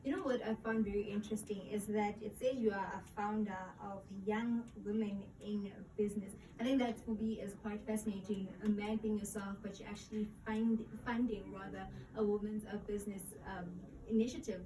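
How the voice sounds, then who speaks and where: A woman's voice: medium pitch, steady tone, fairly quick, hardly any pauses, dark tone. Thoughtful female co-host, roomy sound. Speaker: interview co-host. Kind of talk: interview questions. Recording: echoey room, distant mic, clear noise.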